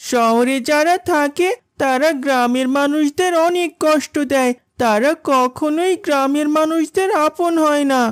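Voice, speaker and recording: High, snippy young schoolgirl played by a woman. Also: unhurried, few pauses, no room sound, clean studio sound. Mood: hostile. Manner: snippy, accusing. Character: haughty, hostile young village schoolgirl. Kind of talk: cartoon character dialogue.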